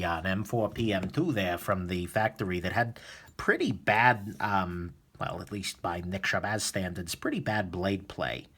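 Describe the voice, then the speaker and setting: A man hosting a casual knife disassembly video, chatty and relaxed with lots of fillers, a little sniffly from a cold. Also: low voice, breathy edge. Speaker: knife reviewer, host. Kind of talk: casual tutorial narration.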